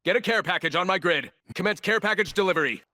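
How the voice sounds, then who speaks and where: A man's voice: sits high, fast talker, sharp tone. A man voicing a ruthless, arrogant military operator in a shooter game, giving clipped, commanding combat callouts in a cold, contemptuous manner.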